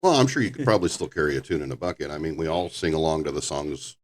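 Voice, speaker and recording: Very deep-voiced older man chatting. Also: expressive. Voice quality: very low voice. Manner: rambling, halting with restarts. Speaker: podcast host. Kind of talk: casual chat.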